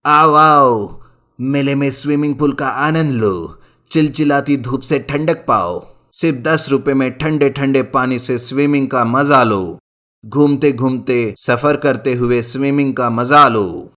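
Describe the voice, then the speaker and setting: A man's voice: medium pitch, unhurried, a bit bright, lightly acted. A man voicing an enterprising young villager calling out to customers like a fairground hawker in a children's story. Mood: loud, inviting.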